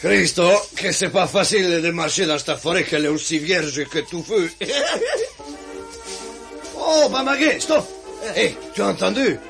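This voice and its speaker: An actor putting on a strong Italian Neapolitan accent as a boastful, wily adventurer in a radio comedy. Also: male voice, high voice, animated, a little slow, vocal fry, long pauses, a bit bright, faint music behind, full character voice. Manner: chatty, self-assured, laughs often.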